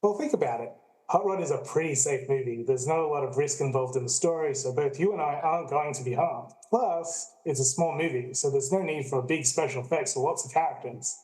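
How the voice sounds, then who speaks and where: A man's voice: fairly high pitch, brisk, a little mellow, natural voice, rough recording. A man playing a laid-back, skeptical friend with a casual way of talking, in an amateur radio play.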